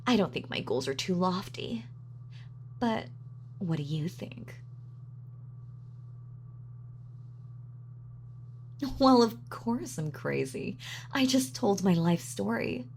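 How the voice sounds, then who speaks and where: A woman's voice: fairly low pitch, animated, measured pace, creaky, darkish tone, slight background noise. A woman playing a gloating, sadistic supervillain in an audio roleplay, with a mock-sweet, sardonic, taunting manner. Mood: smug, sardonic. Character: gloating female supervillain, sadistic, darkly playful. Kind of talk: villain monologue in audio roleplay.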